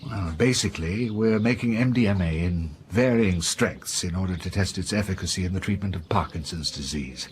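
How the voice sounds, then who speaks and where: Deep-voiced, guarded man acting a university professor. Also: a little hiss or hum, close to an everyday voice. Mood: guarded, explanatory. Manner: measured, explanatory, slightly guarded. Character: careful, defensive male university professor running a lab. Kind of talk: naturalistic radio drama acting.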